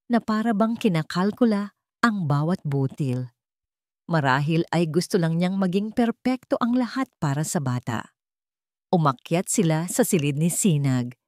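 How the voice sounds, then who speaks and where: A woman's voice: lowish voice, a little mellow, no echo, clean studio sound. A woman reading a scripted dramatic love story as its narrator.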